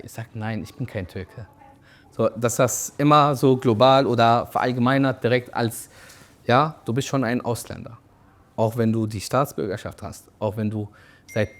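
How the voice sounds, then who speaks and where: A young man from Syria, a shop owner and referee, speaking reflectively in a documentary interview with fillers and restarts. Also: mid-range voice.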